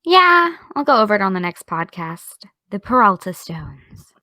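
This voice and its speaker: A woman playing a caring, gently teasing young woman in an audio drama, speaking in a casual, slightly tentative way. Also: medium pitch, sing-song, unhurried, no room sound, clean studio sound. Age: young adult. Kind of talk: naturalistic audio drama dialogue.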